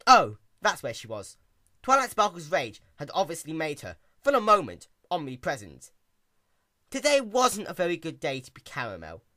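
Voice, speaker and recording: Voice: medium pitch, wildly swooping pitch, normal speed, sharp tone, dry recording, clean studio sound. A narrator giving a dramatic, scripted story reading in a theatrical, wry manner.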